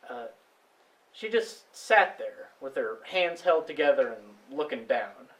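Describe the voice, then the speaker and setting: A man acting in a stage play as a plainspoken farmer giving witness in a halting, folksy way. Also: medium pitch, sing-song, a bit bright, recorded from a distance, rough recording. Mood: earnest, uneasy. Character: plainspoken male farmer witness recounting a discovery. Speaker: stage actor. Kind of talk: amateur stage play dialogue.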